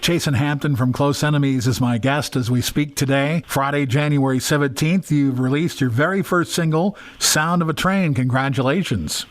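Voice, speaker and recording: A man's voice: mid-range voice, moderate pace, few pauses, dry recording. A man hosting a radio interview, upbeat as he congratulates and introduces his guest. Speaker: radio host. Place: radio studio.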